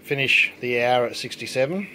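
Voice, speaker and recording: Middle-aged man, mid-pitched, easygoing hands-on demo host thinking aloud, faint room noise. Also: normal speed, low-quality recording. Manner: relaxed, explanatory, thinking aloud while working. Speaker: home brewing presenter. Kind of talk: casual hands-on demonstration. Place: indoor home brewery.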